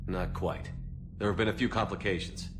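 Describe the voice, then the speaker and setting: A man playing a steady, blunt military commander, the hero of a video game, in a terse, matter-of-fact way. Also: low-pitched, normal speed, music in the background, lightly acted. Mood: dry, sympathetic.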